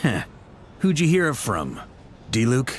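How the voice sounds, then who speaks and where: A man's voice: medium pitch, huge pitch swings, unhurried, a little hiss or hum. An actor playing a seasoned, jovial knight grand master, frank and reassuring as he leads. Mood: easygoing. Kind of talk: English game dub acting. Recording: music behind the voice.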